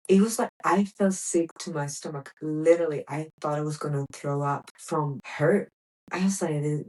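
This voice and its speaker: A woman's voice: deep voice, a little mellow, poor audio. A young woman from Greece, hosting a podcast and telling a personal story in a candid, emphatic way, repeating words for stress. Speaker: podcast host.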